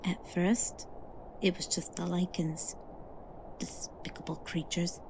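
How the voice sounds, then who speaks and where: A woman's voice: fairly low pitch, little pitch movement, slow pace, gravelly, noisy background. A woman voicing a blunt, disdainful elven sister in an ASMR fantasy roleplay. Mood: grim. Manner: blunt and disdainful, drops into whispers.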